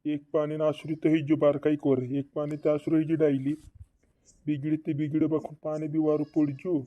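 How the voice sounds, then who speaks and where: A man's voice: a little high, completely flat delivery, fast talker, no echo, lightly acted. A man voicing a fretting cartoon villager with repetitive, doubled words, in a dull lo-fi recording. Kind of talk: regional comedy cartoon dialogue. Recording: dull lo-fi dry audio.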